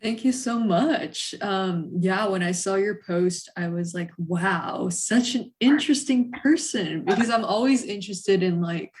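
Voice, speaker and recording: A young woman hosting a casual interview, talking in a halting, conversational way peppered with "like". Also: mid-range voice, darkish tone. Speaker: podcast host, interviewer.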